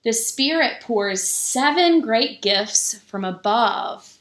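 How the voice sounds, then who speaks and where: Woman, children's story-time reader. Unhurried, mid-pitched, explains simply to little ones. Roomy, echoing recording. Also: expressive, a bit bright. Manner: addresses young children, explaining simply as she reads. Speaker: pastor reading a children's book.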